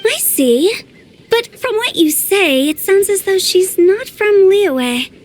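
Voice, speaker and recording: A woman's voice: extremely high pitch, big pitch swings, slow, soft background music, full character voice. A woman voicing a playful, mischievous young woman in a game, with a sly, teasing way of talking. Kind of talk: game voice acting.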